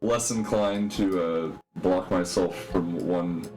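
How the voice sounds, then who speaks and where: A man with a low voice speaks as a player in a tabletop game. His talk is casual, and music is clearly audible behind him. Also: fairly even intonation, slow, darkish tone, poor audio. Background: background music.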